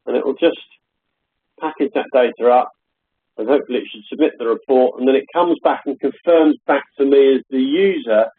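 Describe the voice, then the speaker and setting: A man giving a hesitant, filler-heavy software demonstration on a live call from his home office. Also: medium pitch, brisk, slightly rough, phone-quality sound. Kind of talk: live webinar product demo. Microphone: call audio.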